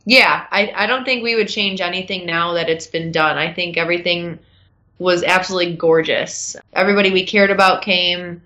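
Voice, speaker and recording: Bright-voiced woman chatting casually. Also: lowish voice, fairly even intonation, normal speed. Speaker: podcast guest, bride recalling her wedding. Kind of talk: casual conversational recollection.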